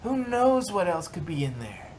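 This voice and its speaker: Woman doing a brash lil pony filly, kinda low voice, cocky, lots of background noise. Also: very animated, moderate pace, darkish tone. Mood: breezy confidence. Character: brash, confident young filly pony, adventurous. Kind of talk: fan fiction character reading. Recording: noisy home recording with rumble.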